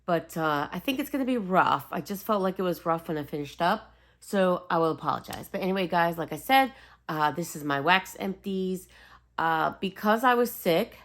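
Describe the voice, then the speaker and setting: A woman vlogger chatting casually and rambling apologetically, slightly raspy and sniffly as she gets over a cold. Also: on the low side, expressive, normal speed. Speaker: host of a home fragrance video channel. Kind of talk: casual rambling vlog chat.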